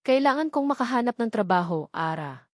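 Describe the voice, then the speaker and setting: A woman's voice: on the high side, animated, fairly quick, no room sound, clean studio sound, pitched above the performer's own voice, close to an everyday voice. A woman voicing an earnest, burdened young father who struggles to provide for his family in a narrated love story. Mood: worried.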